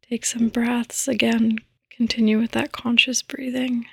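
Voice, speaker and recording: Slow, soft woman guiding sleep meditation. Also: fairly high pitch, little pitch movement, dry recording. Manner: soft, breathy, often near a whisper; soothing, gentle, unhurried. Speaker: podcast host and meditation guide. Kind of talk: guided meditation, sleep podcast.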